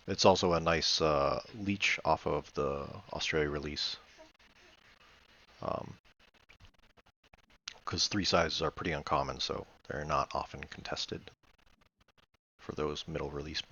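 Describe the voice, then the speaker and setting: A man's voice: a bass voice, fairly even intonation, measured pace, creaky, long pauses, bright, forceful tone, muffled recording. A man giving casual commentary on a board game replay, thinking aloud in a hesitant, matter-of-fact way. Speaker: board game player reviewing own replay.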